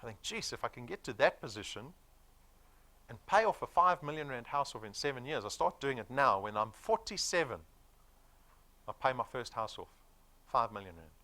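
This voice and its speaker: A man's voice: mid-range voice, very animated, normal speed, bright, forceful tone. A man of forty with a South African accent, giving a motivational business presentation in an emphatic, persuasive manner with rhetorical questions. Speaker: sales presenter.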